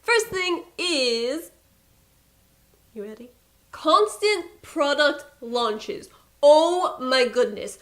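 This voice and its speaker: A young woman with a Persian accent, a beauty YouTuber talking casually to camera, chatty and exasperated with playful asides. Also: high-pitched.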